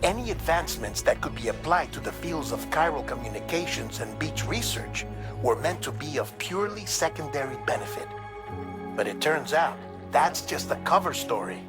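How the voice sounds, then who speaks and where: An actor playing an earnest, troubled investigator in a video game, delivering a briefing in a measured, grave and deliberate way. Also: male voice, a little high, very animated. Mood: troubled, solemn. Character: earnest, troubled male investigator reporting findings to a courier. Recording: dramatic music behind the voice.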